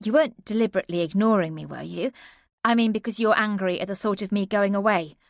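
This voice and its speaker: A woman voicing an exasperated, bossy young woman friend, sharp and scolding. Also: medium pitch, quick pace, gravelly, dry recording, clean studio sound, close to an everyday voice. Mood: suspicious.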